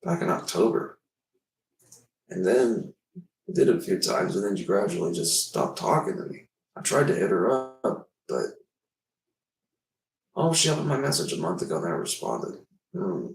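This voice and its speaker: A man in his twenties rambles through a casual livestream, drifting between topics with self-deprecating asides. His voice sits very low and swings widely in pitch. He is recorded in an echoey room, some distance from the mic. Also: big pitch swings, normal speed, gravelly, long pauses, slight background noise. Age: 20s.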